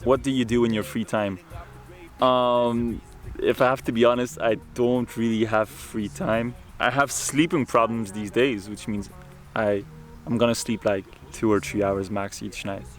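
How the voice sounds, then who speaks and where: Young man, from Ireland, mid-pitched voice, casual Q&A vlog, candid and laid-back, faint music behind. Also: steady tone, a little slow. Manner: reads out questions, then answers candidly and laid-back. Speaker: beatboxer answering fan questions.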